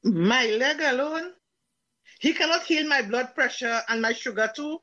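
A woman acts as a sceptical older Dominican villager in a drama. Her high voice takes its time, dry and mocking, teasing with a Caribbean accent. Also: measured pace, a bit bright, lo-fi sound. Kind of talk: dramatised dialogue in Caribbean creole English.

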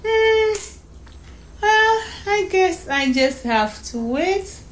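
A woman's voice: very high-pitched, sing-song, very slow, pitched above the performer's own voice, full character voice. A woman voicing a sweet, eager young girl in a story, hesitant and often stammering over repeated words. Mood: affectionate. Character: excited, sweet young girl on her birthday.

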